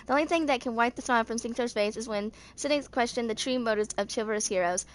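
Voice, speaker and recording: A woman's voice: a little high, fast talker. A woman in her twenties reading story lore aloud in an amateur narration, stumbling now and then over words. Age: 20s. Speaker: video creator reading game character lore.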